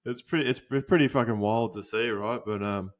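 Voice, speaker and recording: A young Australian man hosting a podcast, talking casually with lots of swearing, fillers and restarts. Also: on the low side. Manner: laid-back, swears a lot, halting with fillers. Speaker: podcast host.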